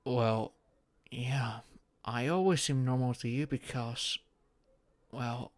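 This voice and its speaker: A young man playing a shy, anxious friend in an intimate audio roleplay, speaking haltingly with sighs and murmurs. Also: medium pitch, fairly even intonation, no room sound, natural voice. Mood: sheepish, tender. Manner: halting, sighing, breathy murmurs rising to tearful outbursts.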